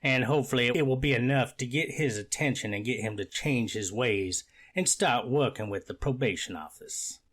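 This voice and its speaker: A man playing an earnest, stern courtroom official in a readers theater reenactment, speaking in a measured, deliberate way. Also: medium pitch, a bit bright, close to an everyday voice. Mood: grave, measured.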